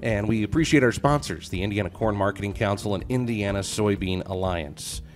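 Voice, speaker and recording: A man with a hoarse voice, hosting a casual farm podcast and joking with his guests. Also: on the low side, brisk, faint music behind. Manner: joking, loose, self-deprecating. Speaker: podcast host.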